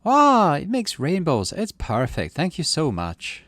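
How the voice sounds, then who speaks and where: A man's voice: on the high side, wildly swooping pitch, unhurried, fairly bright tone, dry recording, pitched above the performer's own voice, heavily acted. A man voicing a chirpy, friendly, gushing youngster with a Northern English accent in a visual novel game. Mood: delighted. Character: chirpy, friendly youngster who loves music and pretty things.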